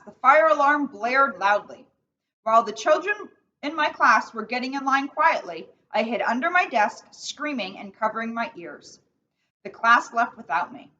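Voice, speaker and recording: Earnest, misunderstood little zebra boy for a storybook played by a woman, bright and very animated, slightly distant recording. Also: fairly high pitch, moderate pace. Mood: recounting, hurt. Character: young male zebra, sensitive, misunderstood schoolchild. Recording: distant mic, dull sound, faint noise.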